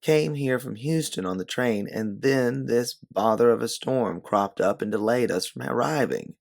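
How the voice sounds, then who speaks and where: A man voicing a quick-witted, companionable woman adventurer in a narrated story. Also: medium pitch, gravelly, voice pushed up. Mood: polite, bluffing.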